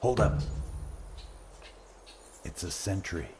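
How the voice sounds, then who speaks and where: A cautious male scout, played by an actor, speaks low and slowly in terse phrases. The audio drama voice rings with long reverb over faint noise. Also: lively intonation, darkish tone.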